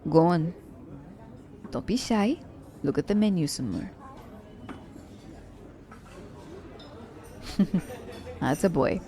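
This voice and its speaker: A woman playing a teasing, worldly female assassin in an ASMR roleplay, with a gravelly, mellow voice and a playful, unhurried delivery. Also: lowish voice, animated, slight background noise. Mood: teasing, amused. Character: teasing, worldly female assassin fond of her werewolf captive.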